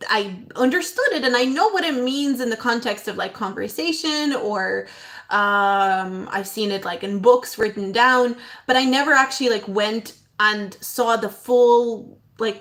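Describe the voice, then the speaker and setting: A young woman hosting an astrology livestream, whose first language is not English, talking in a rambling, reflective way with fillers and soft laughs. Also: a little high. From outside Ireland, moved there at eleven. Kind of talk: casual livestream talk.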